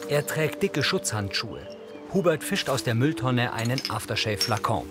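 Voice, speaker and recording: A man giving measured, matter-of-fact scripted narration of on-screen action in the present tense. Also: medium pitch, big pitch swings, over music. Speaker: audio description narrator.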